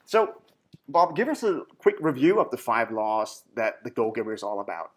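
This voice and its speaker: Danish guy hosting a podcast, eager, lots of ums and restarts, middling pitch. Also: big pitch swings. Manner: enthusiastic, halting with fillers and restarts. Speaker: podcast host. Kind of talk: interview podcast.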